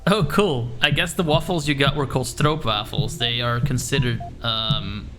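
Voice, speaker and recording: A young man with a Dutch accent chatting casually while streaming a game, often laughing and filling pauses with "um" and "like". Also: mid-range voice. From Netherlands. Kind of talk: casual live-stream chat while gaming. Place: echoey room. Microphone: desk microphone. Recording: room echo with game music behind.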